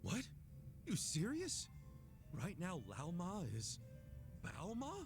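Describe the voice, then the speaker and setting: A man playing an earnest, soft-hearted street brawler in a video game cutscene, speaking frankly and informally. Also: sits high, huge pitch swings, slow, full character voice. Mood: worried, incredulous. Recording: music under the dialogue.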